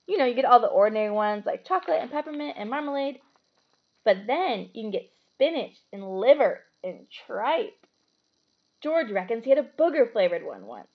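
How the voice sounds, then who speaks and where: Storybook schoolboy read by a woman: blunt, offhand, emphatic, creaky edge, dull-sounding recording. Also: a little high, very animated, normal speed. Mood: warning, disgusted. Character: blunt, casual young schoolboy, easily disgusted.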